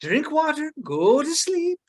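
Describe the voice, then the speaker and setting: A woman playing an earnest, anxious but brave hobbit servant in a script table read, pleading and excitable. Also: high voice, measured pace. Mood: soothing.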